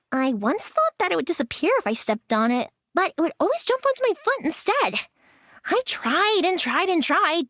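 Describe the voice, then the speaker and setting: A bubbly young fireworks maker, full of teasing chatter and giggles. Her voice sits high and leaps up and down with excitement. It is recorded close and dry, with no room sound. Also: no room sound. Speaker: game character. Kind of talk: game voice lines.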